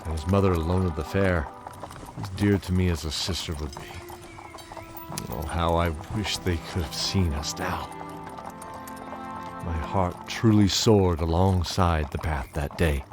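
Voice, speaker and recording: An actor playing a weary, wistful old knight who tells his tale in measured, theatrical fantasy audio drama. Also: deep voice. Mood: wistful, earnest. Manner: measured, theatrical, chuckling reminiscence. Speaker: narrator and lead character. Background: music under the voice.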